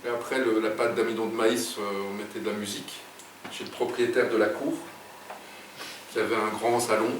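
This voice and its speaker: Brief: middle-aged man, low voice, halting storyteller, recorded from afar in a roomy space. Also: level tone. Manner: hesitant, many fillers and restarts. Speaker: guest writer. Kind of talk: casual interview storytelling. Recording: echoey room, distant mic, background noise.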